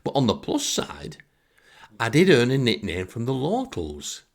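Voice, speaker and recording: Gravelly, animated Northern English man. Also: mid-range voice, measured pace. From Northern England. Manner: self-deprecating, wry. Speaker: comic storyteller. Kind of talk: humorous first-person anecdote.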